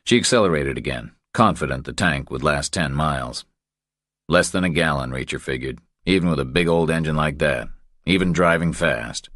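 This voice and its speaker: A man's voice: very deep voice, normal speed, a bit bright, no echo, studio quality. A man reading scripted narration from a thriller novel as an audiobook narrator.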